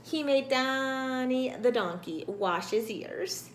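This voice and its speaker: Middle-aged woman, children's storybook reader, very animated, high-pitched, expressive, indoors. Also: slow. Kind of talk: expressive read-aloud to children. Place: small room indoors. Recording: roomy, some background noise.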